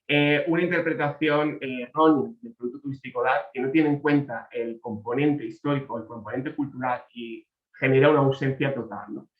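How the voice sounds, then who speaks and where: A man delivers a measured academic presentation over a video call, often checking in with a tag question. His voice sits in the middle range and carries a noticeable room echo. The audio is slightly dull. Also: mid-range voice. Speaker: conference presenter.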